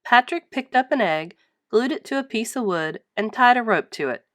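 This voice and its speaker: A woman reading a children's story aloud in an animated narrating style. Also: a little high, moderate pace, no echo, studio quality. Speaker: storybook reader.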